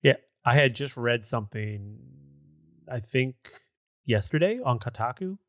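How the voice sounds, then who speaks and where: A man's voice: mid-range voice, lively intonation, measured pace, dry recording. Casual male podcast co-host, close dry sound. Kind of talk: casual gaming podcast chat.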